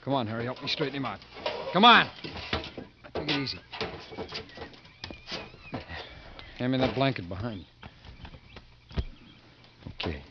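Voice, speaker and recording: Male actor playing guilt-ridden American prisoner, protective, defiant, lively intonation, vintage radio acting, dull limited-band recording. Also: medium pitch, moderate pace, pauses often, background noise, natural voice. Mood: firm. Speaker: protagonist and narrator. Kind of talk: 1950s radio drama acting.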